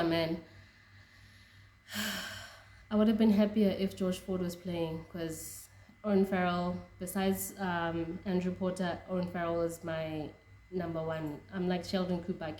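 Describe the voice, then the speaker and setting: A young South African woman whose first language is Tswana, chatting excitedly about rugby with frequent laughs and restarts. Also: fairly low pitch, echoey room, slight background noise. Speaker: rugby fan vlogger. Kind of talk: casual reaction chat.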